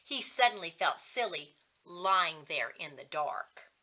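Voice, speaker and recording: A woman reads a storybook aloud with a bright, clear-cut edge to her voice. Her narration is expressive and her pitch moves in a lively way, as a coach would read to children. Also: slow.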